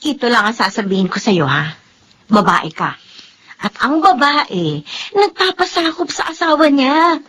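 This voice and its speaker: A woman's voice: high-pitched, big pitch swings, a little slow, a bit bright, slight background noise, exaggerated voice. A woman playing a female friend who scolds and lectures a young wife, in a radio drama. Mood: scolding.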